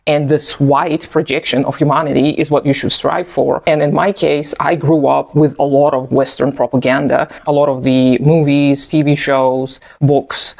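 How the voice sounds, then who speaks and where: Male, 30s, native Russian speaker, thoughtful solo commentary, slightly raised pitch, unhurried. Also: little pitch movement, creaky. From post-Soviet country. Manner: thoughtful, measured, occasional soft laughs. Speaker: video essayist. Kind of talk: reflective personal commentary.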